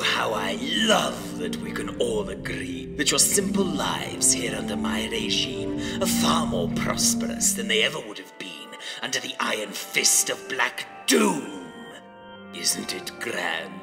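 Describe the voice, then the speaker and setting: A grandiose evil ruler of an audio drama, gravelly and slow, talking down to his subjects. He gloats theatrically with great condescension over loud music. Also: on the low side, big-room sound, exaggerated voice. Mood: gloating. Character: pompous, gloating evil dictator scientist addressing his subjects. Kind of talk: villain speech in audio drama.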